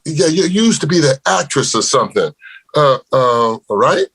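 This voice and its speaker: A man's voice: fairly high pitch, sing-song, normal speed, fairly bright tone, breathy edge, exaggerated voice. An actor playing a rattled, hesitant man who fumbles for words in a TV drama script reading. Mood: excited, hesitant. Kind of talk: table read of a TV drama script.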